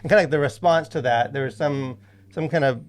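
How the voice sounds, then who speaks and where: A man's voice: mid-range voice, fast talker. A man co-hosting a casual podcast, talking in a wry, jokey way with rambling asides. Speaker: podcast co-host. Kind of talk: casual conversational podcast commentary.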